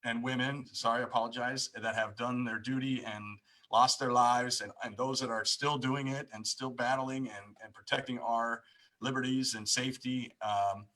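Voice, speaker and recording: Guy hosting a live stream, really bright forceful voice, informal, repeats himself a lot. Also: medium pitch, fairly even intonation, normal speed, poor audio. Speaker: live show host. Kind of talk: casual live-stream intro and chat.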